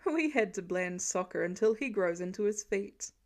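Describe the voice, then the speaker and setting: Woman voicing an easygoing, teasing husband, lowered voice. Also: lively intonation, moderate pace, lightly acted. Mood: warm, easygoing. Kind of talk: audiobook character dialogue.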